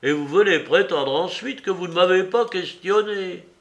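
A cranky old office clerk bursts out in sputtering indignation, as a male reader puts him on. The voice sits high and loud and swings wide in pitch. He is a touchy, easily offended storybook figure. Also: animated, unhurried, vocal fry. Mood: indignant. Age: older.

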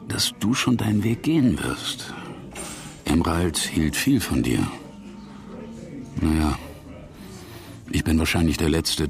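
Radio drama role: disillusioned barfly teacher, deep and creaky, musing, wry, halting delivery. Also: male voice, exaggerated voice. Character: disillusioned male drinker, ex-academic German teacher, wistful, wry. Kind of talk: conversational radio drama acting.

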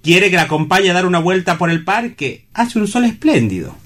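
Man acting courteous, high-voiced, didactic gentleman. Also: very animated, a little fast, heavily acted. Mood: reasoning, polite. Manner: formal, polite, didactic. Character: courteous, wry man calmly reasoning with a would-be suicide. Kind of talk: theatrical dialogue from a comic stage play.

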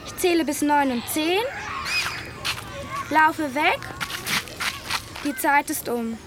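A performer voicing an eerie childlike figure, chanting a counting rhyme in a measured singsong for a radio play. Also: sits high, over loud music. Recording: clear ambient noise behind voice.

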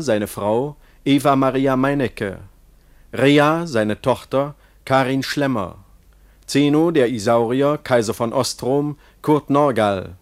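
A male announcer reads scripted credits in a formal manner. His medium-pitched voice is slow and deliberate, with each item precisely enunciated. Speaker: radio announcer.

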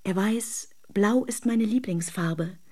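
A woman's voice: mid-range voice, slow pace, darkish tone. A woman acting an intimate radio drama monologue as a dreamy, self-deluding wife who longs for her lover, confiding in careful words. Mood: dreamy, eager.

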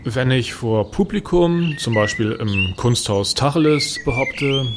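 A man's voice: mid-range voice, expressive, measured pace, noisy background, lightly acted. An actor playing a self-righteous state informer in his late thirties in a satirical radio play, articulate and smug as he justifies himself. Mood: self-justifying. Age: late 30s.

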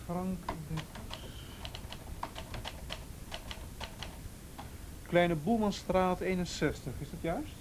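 An actor in a radio play playing a calm, firm police officer who is polite, official and insistent. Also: male voice, sits high, measured pace, a little hiss or hum, rough recording. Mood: methodical. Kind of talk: naturalistic radio play acting.

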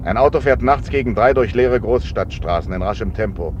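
A male actor as a commanding gangster with a lowish voice and courteous, ironic menace. He articulates carefully in 1950s radio-play style. The sound is muffled, with clear vehicle noise behind him. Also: expressive, a little fast, darkish tone, close to an everyday voice. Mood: cool, persuasive. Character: polite, commanding male gangster abducting a priest.

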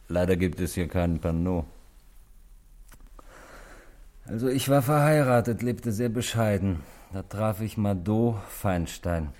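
An actor playing a calm, weary man confessing to murder in a 1960s German radio drama, measured and wryly resigned. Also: lowish voice, natural voice.

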